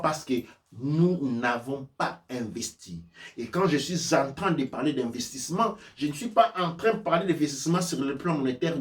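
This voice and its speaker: A man's voice: mid-range voice, echoey room, recorded from a distance. A man giving an impassioned sermon-like lecture, emphatic and repetitive, driven by rhetorical questions. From sub-Saharan Africa. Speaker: spiritual teacher and preacher.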